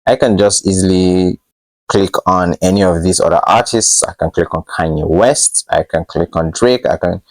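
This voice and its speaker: A man presenting a casual software tutorial, with somewhat halting, hesitant phrasing. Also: sits low, steady tone, poor audio.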